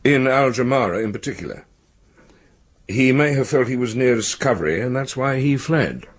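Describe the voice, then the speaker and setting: An actor playing a proud, stiff British academic in charge of an institution in a radio drama, speaking formally and self-importantly. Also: male voice, medium pitch, animated, moderate pace, close to an everyday voice. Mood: guarded.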